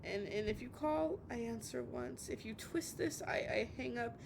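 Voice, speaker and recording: A woman playing an obsessive, heartbroken young woman on a late-night call, stammering and repeating herself in a dramatic audio roleplay monologue. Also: vocal fry. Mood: resolute, nervous. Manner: halting, stammering, repeating words. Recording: noisy with low rumble.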